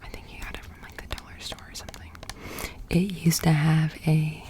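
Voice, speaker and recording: A woman with an American accent speaks in a low, hushed whisper. She chats casually and informally, as in a soft-spoken ASMR roleplay. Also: level tone, fairly quick. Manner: casual, chatty, informal with swearing. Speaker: ASMR creator.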